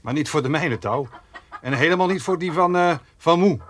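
An actor playing an exasperated but soft-hearted farmer in a radio play, flustered and stammering over repeated words. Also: male voice, on the high side, moderate pace, lightly acted. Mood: uneasy, grumbling. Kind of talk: Dutch radio play acting. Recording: noisy radio play recording.

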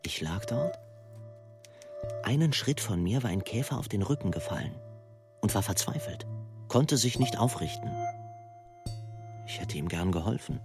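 A man giving a measured, reflective literary reading of letters and verse. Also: fairly low pitch, animated, music in the background.

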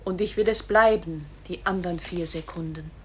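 A woman voicing a gentle bullet that speaks softly and carefully to its dying victim in a poetic radio play. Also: on the low side, moderate pace, slightly rough. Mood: tender, eerie. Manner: soft, careful, soothing.

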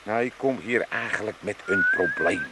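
A man's voice: medium pitch, wildly swooping pitch, moderate pace, very noisy. An older actor playing a grumbling, sarcastic, scheming old father in a comic radio play. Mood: blustering, evasive. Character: grumpy, scheming old Amsterdam father from a retirement home.

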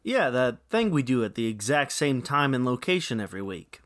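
Man, comic radio co-host, animated delivery, close dry recording. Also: medium pitch, brisk, dry recording. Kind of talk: scripted comic banter.

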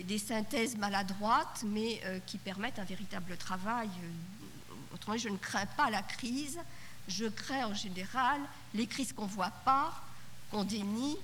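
Middle-aged woman, halting, at a conference. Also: medium pitch, fairly bright tone. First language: Yiddish. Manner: thoughtful, halting, many restarts and fillers. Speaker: panelist at a literature conference roundtable. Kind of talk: spoken reflection at a conference panel. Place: conference hall. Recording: 1990s cassette tape in a hall, faint hiss.

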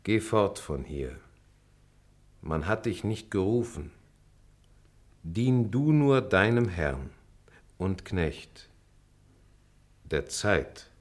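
An actor playing a middle-aged lawyer and former pupil, composed, formal and dryly witty, in a German radio play. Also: male voice, low-pitched, big pitch swings, heavily acted. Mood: solemn. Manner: formal, measured, dry. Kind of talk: German radio play acting, measured recitation.

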